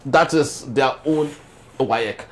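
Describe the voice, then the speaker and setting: A man's voice: mid-range voice, takes it slowly. A man with a Nigerian accent discussing issues conversationally as a talk show panelist.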